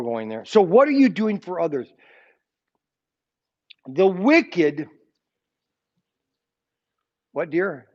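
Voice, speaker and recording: A man's voice: sits high, darkish tone, muffled recording. A man in his sixties teaching an informal Sunday school Bible lesson, rambling and often checking in with his listeners. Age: 60s. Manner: rambling, keeps checking in with "okay?".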